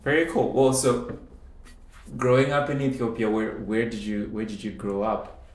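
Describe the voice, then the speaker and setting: A man's voice: lowish voice, level tone, moderate pace, reverberant, poor audio. A man hosting a podcast, asking questions and often repeating words as he starts them. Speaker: podcast host. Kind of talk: interviewing and scripted intro.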